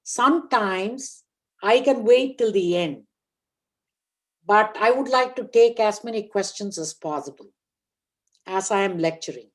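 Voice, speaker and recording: Woman, 60s, from India, guest professor, online lecture, slow and careful, mid-pitched voice. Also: lively intonation. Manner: deliberate, careful to speak slowly. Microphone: online video call.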